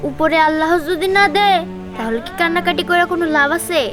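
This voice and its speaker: A squeaky, very high-pitched village child, voiced by a woman, chats. It is a fairy-tale drama with music behind the voice. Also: fast talker. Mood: coaxing. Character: chatty, cheerful village child, coaxing playmate.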